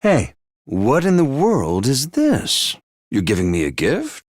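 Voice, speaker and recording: A man voicing a cold, scheming AI that mocks feelings as useless, with a flat, dismissive manner, recorded dry in a studio. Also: mid-range voice, slow, no echo, full character voice. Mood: cold mocking. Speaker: game voice actor. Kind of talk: video game voice lines.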